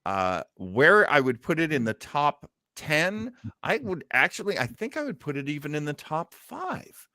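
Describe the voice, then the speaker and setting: Man, radio show host, lively swinging intonation, casual showman chatter, dry close sound. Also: a little high, a little slow, no room sound. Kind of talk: casual chat and show intros.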